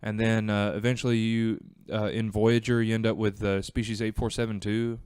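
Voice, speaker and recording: A man's voice: lowish voice, flat delivery, moderate pace, darkish tone, clear, resonant tone, no echo, studio quality. A man chatting casually and explaining his views as a podcast guest. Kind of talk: casual chat.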